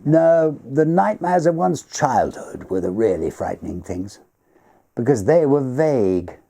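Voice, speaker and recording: A man's voice: mid-range voice, very animated, unhurried, vocal fry, warm tone, pitched above the performer's own voice, exaggerated voice. A man voicing a genteel party host in a storybook, confiding and faintly haunted, with emphatic, refined conversational speech. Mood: animated, musing. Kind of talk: storybook character dialogue.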